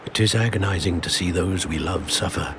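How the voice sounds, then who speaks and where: A man playing a wise, cautious wizard professor with gentle, formal, measured phrasing in a dramatic video game. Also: sits low, crowd noise. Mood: gentle, cautioning. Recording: orchestral music behind the voice.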